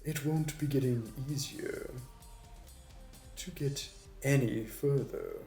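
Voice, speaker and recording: A man's voice: medium pitch, a little mellow. A man playing a suave, smug supervillain in an audio roleplay, with a mocking, flirty, theatrical delivery. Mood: smug, taunting. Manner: theatrical, taunting, drawn-out delivery. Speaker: voice actor. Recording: roomy echo, distant mic, music behind.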